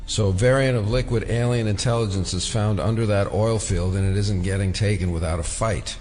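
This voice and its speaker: Low-voiced, wry male investigator played by an actor. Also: fairly quick, a little mellow, natural voice. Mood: dry, intent. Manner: dry, wry, understated. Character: dry, dogged male FBI investigator chasing conspiracies. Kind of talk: audio drama dialogue.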